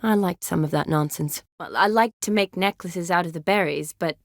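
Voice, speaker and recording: A woman's voice: fairly low pitch, normal speed, dry recording, natural voice. An actress as a composed young woman medic, dry recording. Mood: wry, teasing. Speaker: video game character, army surgeon. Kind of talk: video game dialogue acting.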